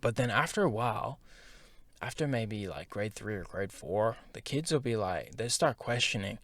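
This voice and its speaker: A man's voice: fairly low pitch, expressive, normal speed, fairly bright tone, dry recording. A young man telling his own story in a casual, candid monologue, with slang and filler words. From half Japanese, schooled in Singapore.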